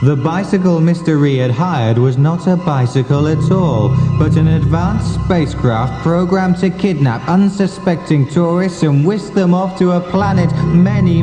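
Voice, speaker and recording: A man's voice: fairly high pitch, unhurried, few pauses. A man reading a scripted comic mystery as storyteller, solemn and mock-dramatic in his delivery. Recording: echoey, long reverb, faint music behind. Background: faint music.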